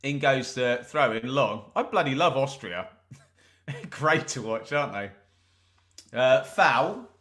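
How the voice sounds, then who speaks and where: A man's voice: medium pitch, expressive, takes it slowly, pauses often, a bit bright. A man hosting a live football watch-along, commentating on the play and chatting to viewers with jokes and asides. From England. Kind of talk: live match commentary and chat.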